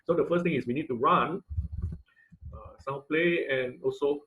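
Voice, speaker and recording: A man teaching an online class in an informal, halting tutorial style, often checking in with listeners. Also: a little high, narrow, tinny audio, slight background noise. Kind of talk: informal tutorial with live demonstration. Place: online video call class.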